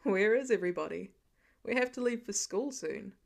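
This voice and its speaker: Weary, affectionate father for story audio, voiced by a woman: lowered pitch, dry, gently stern. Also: expressive, moderate pace, gravelly. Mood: fond. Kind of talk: storybook-style character reading.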